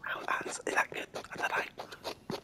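Whispering man, mock ASMR. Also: a little slow. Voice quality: whispery, breathy. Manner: deadpan, bumbling, easily annoyed. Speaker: gaming video host.

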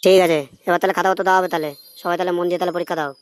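Brisk schoolmaster for a cartoon, played by a woman: rapid, slightly low delivery. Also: little pitch movement, no room sound, close to an everyday voice. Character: brisk schoolteacher addressed as sir, running an exam. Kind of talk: amateur cartoon dialogue.

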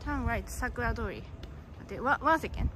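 A woman's voice: on the high side, fairly quick, fairly bright tone. A young woman from Japan with a Japanese accent, chatting casually on a live stream while walking outdoors and holding the camera at arm's length. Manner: chatty, reads viewer comments, hums song snippets. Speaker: vlogger. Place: suburban street outdoors, walking. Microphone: phone or action camera at arm's length. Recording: noisy outdoor street sound.

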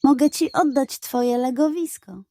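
A lazy storybook tomcat, played by a woman, amused and gently mocking. The voice is mellow and wry, recorded dry and close. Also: high-pitched, measured pace, dry recording. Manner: drawling, wry. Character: lazy, amused, teasing male cat.

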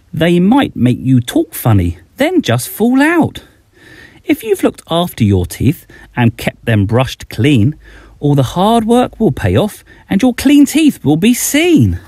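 A man's voice: on the high side, huge pitch swings, unhurried, darkish tone, slightly rough, dry recording. A middle-aged man reading a rhyming bedtime story aloud, expressively, as a children's author addressing young listeners.

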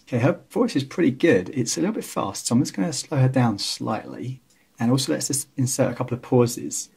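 Male tutorial host, 30s. Very husky tone, mid pitch, relaxed step-by-step explaining. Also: brisk. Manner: casual, explanatory. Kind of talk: screen-recorded software tutorial narration.

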